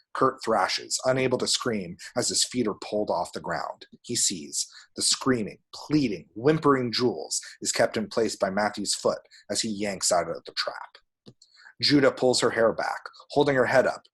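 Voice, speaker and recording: Male narrator, low and husky, reading stage directions at a script table read. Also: fairly bright tone. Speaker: reader of action descriptions at a table read. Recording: home recording.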